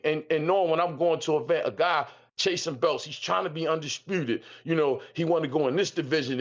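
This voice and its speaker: A man, calling in and talking bluntly in slang, repeating himself. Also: on the high side. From South Philadelphia. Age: 50s. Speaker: caller. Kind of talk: call-in chat. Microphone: Discord call. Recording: muffled call audio.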